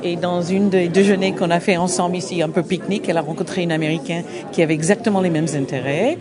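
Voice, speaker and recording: A middle-aged woman, an English speaker, answering interview questions at an open day, halting and searching for words with frequent restarts. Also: lowish voice, fairly even intonation. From United States. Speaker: association representative. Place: busy open-day event. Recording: crowd noise behind. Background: crowd chatter.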